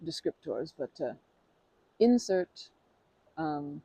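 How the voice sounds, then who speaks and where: A woman in an ASMR roleplay, chatting to the listener as a friendly stranger with a husky, gravelly voice. Her pitch swoops up and down as she rambles, stops and restarts her sentences. The sound is dry and close, with a mellow tone. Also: big pitch swings, takes it slowly, no echo, rough recording. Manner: rambling and hesitant, often restarting and correcting herself; friendly and earnest, with light laughs.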